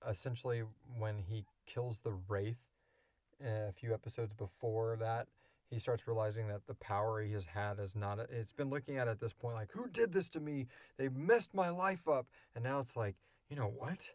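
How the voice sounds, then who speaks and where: A man's voice: mid-range voice, normal speed, dry recording, clean studio sound. A man hosting a podcast, answering questions in casual, unscripted talk from a small booth. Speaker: podcast host and creator. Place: small enclosed recording booth.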